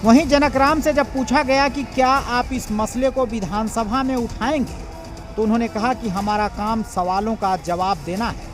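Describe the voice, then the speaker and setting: A man's voice: extremely high pitch, fairly even intonation, moderate pace, music in the background. A man narrating a scripted television news report as a reporter.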